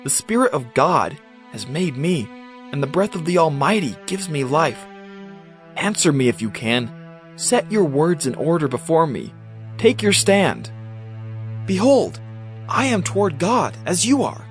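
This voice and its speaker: A man's voice: fairly high pitch, very animated, unhurried, soft background music. An actor playing a fervent, indignant young man who rebukes his elders in a declamatory, insistent way, in a dramatized scripture reading. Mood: earnest challenge.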